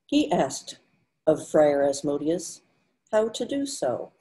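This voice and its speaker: Older woman, narrator, measured storytelling, slow, dark tone, webcam. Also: deep voice, very animated, rough recording. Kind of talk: readers theater narration. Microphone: video call webcam.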